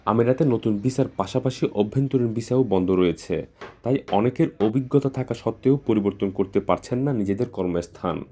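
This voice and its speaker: A man's voice: lowish voice, brisk, mellow. A man reading scripted news narration as a reporter.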